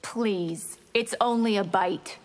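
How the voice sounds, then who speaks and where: A woman's voice: medium pitch, takes it slowly, in a large hall, lightly acted. An actress playing a predatory, bloodthirsty winged vampire woman who taunts her prey in a fighting game. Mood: taunting, hungry. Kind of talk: fighting-game character dialogue.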